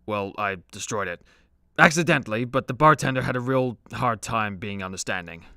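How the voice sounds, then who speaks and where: Male actor as hard-drinking demigod hero in a comedy radio play. Creaky, mid-pitched, laid-back, confiding. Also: moderate pace, sharp tone, dry recording, clean studio sound. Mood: sheepish.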